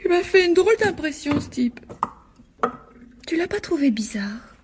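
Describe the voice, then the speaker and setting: An actress in a radio drama playing an uneasy young woman, soft and breathy, who questions things hesitantly. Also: sits high, big pitch swings, moderate pace, noisy background. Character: uneasy young woman, timid, suspicious, apologetic.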